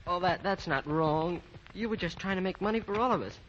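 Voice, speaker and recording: High-voiced nervous American boy jockey. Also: brisk, fairly bright tone, muffled recording. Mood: sheepish. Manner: hesitant, stammering, naive. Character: earnest, nervous boy jockey, devoted younger brother. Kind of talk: 1940s radio drama acting. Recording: noisy old 1940 radio broadcast.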